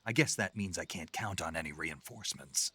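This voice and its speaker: A man voicing a laconic special forces soldier with a husky voice and a dry, sardonic wit in a game. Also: low voice, animated, a little fast, pushed and bright, dry recording, exaggerated voice. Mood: wry. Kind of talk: game dialogue.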